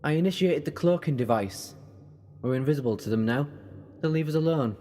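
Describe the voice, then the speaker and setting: A man playing a quick-witted, sardonic time-travelling adventurer in an audio drama, speaking in a wry, emphatic way. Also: a little high, animated, warm tone. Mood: determined. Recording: reverberant, music underneath.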